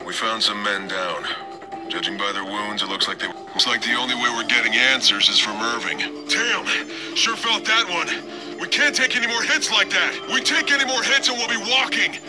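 A man acting an earnest soldier, animated voice. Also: medium pitch, normal speed, natural voice. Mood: urgent, shouting. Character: earnest, determined male soldier and police officer in action. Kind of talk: video game voice acting. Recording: game clips under loud music.

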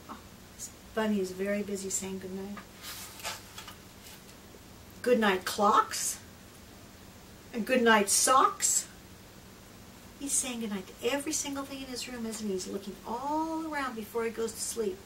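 A middle-aged woman reads a picture book aloud to small children. Her delivery is slow, gentle and expressive, with drawn-out phrases and soft hushed moments. She is recorded at a distance from the microphone, with clear room noise behind her. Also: on the high side. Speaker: children's read-aloud presenter and trainer. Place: small indoor room lined with picture books. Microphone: far camera microphone.

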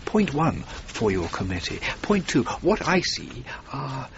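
An actor playing an earnest, self-doubting male architect in a radio drama, with a halting, thoughtful delivery. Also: mid-range voice, huge pitch swings, dull audio, a little hiss or hum. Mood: earnest, visionary. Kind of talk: BBC radio drama acting.

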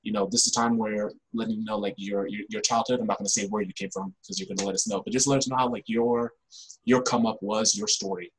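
A young man from Africa hosts a relaxed, casual interview over a video call. His voice is fairly low and rather husky. He speaks haltingly, restarting phrases and filling them with "like". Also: low-quality recording. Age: young adult. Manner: halting, frequent "like". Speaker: podcast host. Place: small indoor room at home, video call.

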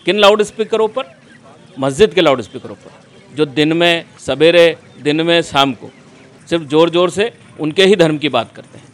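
A middle-aged man giving emphatic, deliberate political answers as an organisation's spokesman in an interview, into a handheld microphone. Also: on the high side. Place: small furnished room indoors, seated on a sofa. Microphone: handheld reporter's microphone held close.

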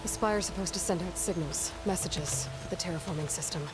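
An actress playing a wary, determined young huntress in a video game, blunt and terse, often as if muttering to herself. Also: female voice, fairly low pitch, a little fast, over loud music, close to an everyday voice. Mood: focused, puzzled. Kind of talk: video game cutscene acting.

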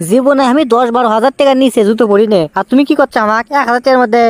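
A man's voice: extremely high pitch, steady tone, rapid-fire, dry recording. A man voicing a whiny, sulky young boyfriend in a comic cartoon, with a Bogura accent from northern Bangladesh. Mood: stubborn, pleading. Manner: pleading, stubborn, breaks into teasing song.

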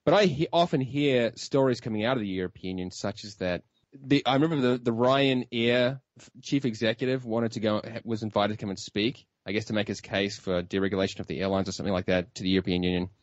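A man hosting a talk radio show, interviewing a guest in a brisk, restarting way. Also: on the low side, no echo. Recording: dry, dull lo-fi radio audio.

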